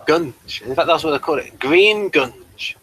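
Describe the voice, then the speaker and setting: A man's voice: a little high, animated, measured pace, fairly bright tone, low-quality recording. A British man co-hosting a casual review chat, speaking in a hesitant, inquisitive way. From United Kingdom. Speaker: podcast co-host reviewing a TV episode.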